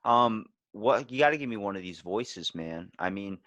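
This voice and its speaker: A man's voice: fairly low pitch, lively intonation, normal speed, a bit bright, dry recording. A man hosting a podcast in casual, joking chat with some swearing. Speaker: podcast host.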